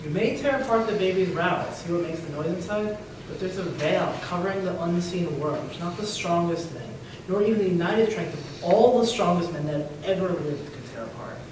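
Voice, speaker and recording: A woman's voice: lowish voice, moderate pace. A woman reciting scripted lines as a readers theater performer. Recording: echoey hall, distant mic, noisy.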